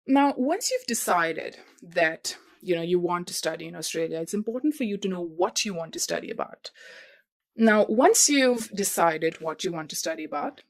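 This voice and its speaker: A young woman hosting a podcast who explains things step by step in a repetitive way and talks straight to her listeners. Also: medium pitch. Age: young adult. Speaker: podcast host. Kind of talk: advice talk.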